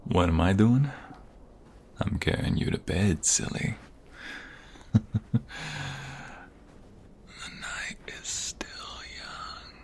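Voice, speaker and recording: A man acting a tender, protective boyfriend in an intimate ASMR roleplay, speaking softly and soothingly, often close to a whisper. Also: sits low, expressive, drawn-out words, long pauses, exaggerated voice. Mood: tender, playful. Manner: soft, soothing, often breathy near-whisper. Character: tender, devoted boyfriend, a gentle protective lover.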